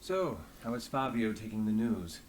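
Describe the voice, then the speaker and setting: An actor playing a weary, dry-witted inspector in a fantasy game, speaking in measured, formal phrases. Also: male voice, on the low side, big pitch swings, rough recording. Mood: guarded, wistful. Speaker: game character. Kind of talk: scripted fantasy game dialogue. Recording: light background ambience and noise.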